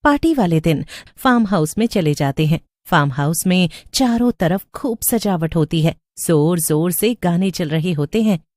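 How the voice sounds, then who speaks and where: A woman's voice: fairly low pitch, animated, moderate pace, darkish tone, no room sound, clean studio sound. A woman narrating a scripted horror story as the storyteller.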